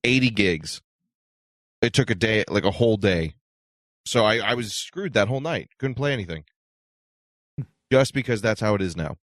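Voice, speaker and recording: A man's voice: lowish voice, animated, moderate pace, long pauses, a bit bright, dry recording, clean studio sound. A man co-hosting a talk show with casual chat and wisecracks.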